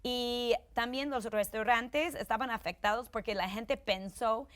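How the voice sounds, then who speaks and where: A woman's voice: fairly high pitch, unhurried, a bit bright. A woman from New York, a journalist guest speaking in a panel discussion, thoughtful and at times hesitant. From New York, United States.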